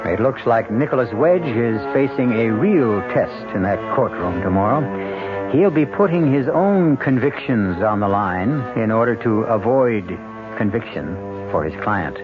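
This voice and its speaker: American guy hosting an old radio mystery, dramatic and lively delivery, muffled recording with music. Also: mid-range voice. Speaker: host of radio mystery series. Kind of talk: theatrical scripted radio narration. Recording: dull vintage radio with loud music.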